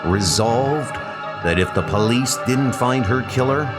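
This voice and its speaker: A man's voice: mid-range voice, animated, measured pace. A man giving dramatic, suspenseful narration of horror stories, drawing out his phrases theatrically. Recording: music behind the voice. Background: dramatic music.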